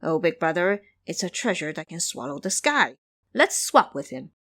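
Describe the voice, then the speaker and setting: A woman's voice: on the low side, sing-song, normal speed, bright, forceful tone, no room sound, exaggerated voice. A woman voicing a gullible, eager male imp servant in an audio storybook. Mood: excited.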